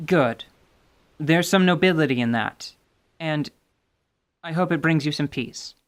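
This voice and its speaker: A performer voicing a grim, composed female warrior who speaks in a calm, measured, stern way. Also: medium pitch, close to an everyday voice. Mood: grave. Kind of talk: radio drama acting.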